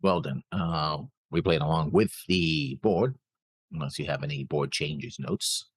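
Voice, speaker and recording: A man co-hosting a reaction show, casually discussing an anime episode in a halting way, often repeating words. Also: rumbling low, creaky, husky.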